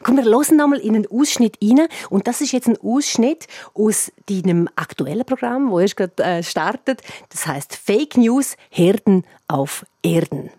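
A woman's voice: medium pitch, animated, normal speed, few pauses, mellow, slightly rough, dry recording. Woman interviewer, dry close sound. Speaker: host interviewing a cabaret artist. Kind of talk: conversational radio interview.

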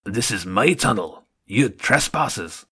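Storybook villain: man voicing a boastful armored rat guard, low and menacing. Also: animated, unhurried, sharp tone, slightly rough, exaggerated voice. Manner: threatening, boastful. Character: gruff giant armored rat guard, cocky.

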